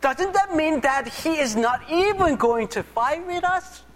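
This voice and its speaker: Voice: high-pitched, animated, unhurried, pitched above the performer's own voice. A pastor speaking in the first person as Joshua, an anxious young Israelite man who assists Moses, stammering and talking straight to the congregation. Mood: alarmed. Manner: fretful, stammering, speaks to the audience directly. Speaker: pastor acting out a biblical figure in first person. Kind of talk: first-person dramatic monologue in a sermon. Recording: echoey hall reverb.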